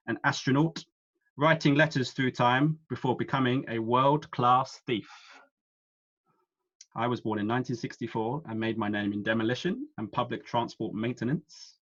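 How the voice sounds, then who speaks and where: A man's voice: medium pitch, fairly bright tone. Man reading quiz clues over lo-fi video call. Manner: reads each clue slowly twice. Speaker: quiz host.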